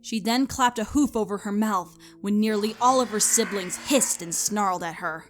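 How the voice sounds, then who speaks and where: A woman narrator reads a dramatic story from a script. Her pitch is average for a woman, and music plays softly underneath. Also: moderate pace.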